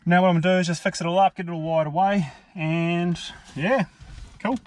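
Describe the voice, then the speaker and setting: A man's voice: sits high, fairly even intonation, fairly quick. An Australian man with an informal, laid-back manner, talking casually through a hands-on product review. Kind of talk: casual hands-on vlog narration. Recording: clearly noisy amateur vlog audio.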